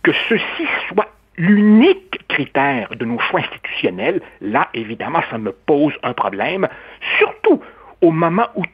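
A speaker argues emphatically in a radio discussion. The voice is husky and moves around a lot in pitch. The sound is dull and lo-fi, like an old radio recording. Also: sing-song, a little slow. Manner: emphatic, argumentative, rising emphasis.

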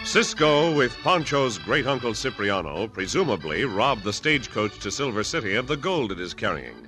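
A man's voice: medium pitch, big pitch swings, moderate pace, poor audio. An American man narrating as an old-time radio announcer, in a dramatic scripted style. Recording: vintage radio with faint music.

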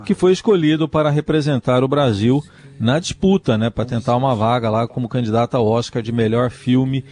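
A man's voice: medium pitch, a little fast, a little mellow. Man presenting a culture news item. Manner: informative, with tag questions like "né". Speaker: radio co-host.

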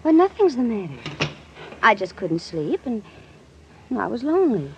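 A woman's voice: on the high side, very animated, normal speed, a little mellow. A woman playing a coy, flirtatious and cunning society lady in 1940s radio drama. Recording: dull, hissy vintage radio recording.

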